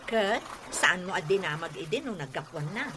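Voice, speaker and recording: An actress with a Filipino Ilocano accent playing an inquisitive neighbour and friend in a radio drama. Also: female voice, lowish voice, animated, moderate pace, noisy background, close to an everyday voice. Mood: concerned, probing. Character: inquisitive neighbour woman, wife's close friend.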